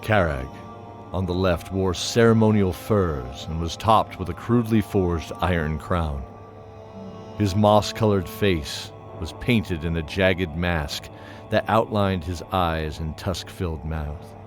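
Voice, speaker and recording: A man narrating a fantasy audio drama from a script in a measured storytelling manner. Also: sits low. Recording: music playing behind.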